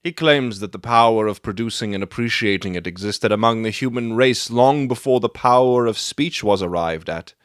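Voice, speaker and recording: A man playing a self-assured gentleman detective in a dramatic audiobook, theatrical and articulate as he expounds his deductions. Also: on the low side, moderate pace, few pauses, smooth, no echo, studio quality, close to an everyday voice. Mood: musing, assured.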